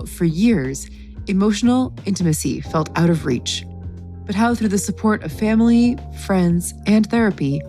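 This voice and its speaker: A woman hosting a podcast, reading a scripted intro and asking interview questions in a calm, measured way. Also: lowish voice. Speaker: podcast host.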